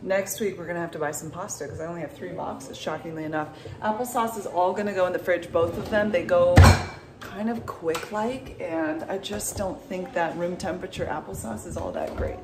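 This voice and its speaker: A woman's voice: fairly low pitch, brisk. Chatty woman in an echoey kitchen. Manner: chatty, asks viewers questions. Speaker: vlogger. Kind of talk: casual chat to camera. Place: home kitchen, indoors. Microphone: handheld phone, often set down at a distance. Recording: echoey kitchen, mic far off, noisy.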